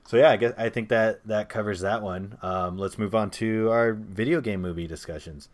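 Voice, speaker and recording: A man with a deep, low voice hosts a relaxed podcast chat about movies. He is opinionated and jokey, and he keeps the conversation moving along. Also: moderate pace. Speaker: podcast host. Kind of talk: casual movie discussion podcast.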